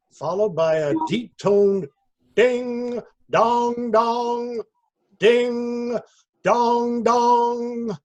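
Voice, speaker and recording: Male story narrator, high voice, animated intonation, theatrical, deliberate, dramatic literary reading. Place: indoors on a video call.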